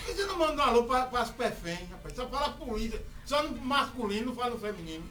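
A man's voice: very high-pitched, sing-song, rapid-fire, sharp tone. A man, a radio co-host making joking interjections from away from the microphone. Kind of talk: morning radio show banter. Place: radio studio. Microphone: off-mic, far from the microphone.

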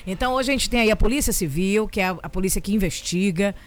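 A woman with a Bahian accent hosting a morning radio show, chatty and teasing, sending shout-outs to listeners from the studio. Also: mid-range voice, fast. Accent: Bahian Brazilian Portuguese. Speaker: radio host.

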